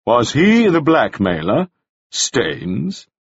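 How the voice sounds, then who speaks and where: A man voicing a stern, calculating jailer who questions in a slow, probing way. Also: medium pitch, huge pitch swings, husky, dry recording, full character voice. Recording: dull lo-fi recording.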